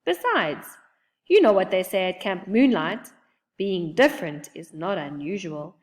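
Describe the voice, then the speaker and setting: A woman's voice: lowish voice, sing-song, measured pace, big-room sound. A woman voicing a jovial, encouraging bigfoot dad with a hearty, teasing manner.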